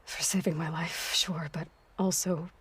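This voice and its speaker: A woman voicing a determined but guarded young warrior leader in a video game, earnest and plain-spoken with a husky voice. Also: lowish voice, little pitch movement, a little slow, fairly bright tone, heavily acted. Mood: grieving, hushed. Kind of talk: video game cinematic acting.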